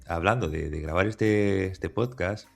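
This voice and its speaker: A man with a low, gravelly voice hosts a relaxed podcast interview. He talks haltingly and keeps restarting his phrases, with faint music underneath. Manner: hesitant, many restarts and fillers. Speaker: podcast host. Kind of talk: conversational interview.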